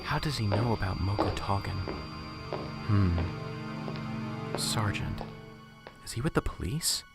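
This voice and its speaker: A man's voice: lowish voice, animated, measured pace, vocal fry, over loud music. A man playing a jittery, stammering professor. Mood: puzzled. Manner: anxious, stammering, talks to himself. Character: nervous unsure male professor. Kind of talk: amateur radio drama.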